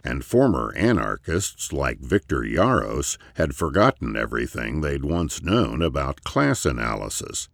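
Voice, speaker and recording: A man reading a scripted essay as narration, in measured, deliberate phrases with emphatic stresses. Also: a bass voice, very animated, creaky, dry recording, clean studio sound.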